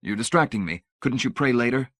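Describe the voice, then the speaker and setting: A man putting on a high, pompous zealot. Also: expressive, a little fast, a bit bright, no room sound, clean studio sound, natural voice. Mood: preachy. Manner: self-righteous, lofty and lecturing. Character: smug zealous male technician, pompous and preachy. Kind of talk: audiobook character voice.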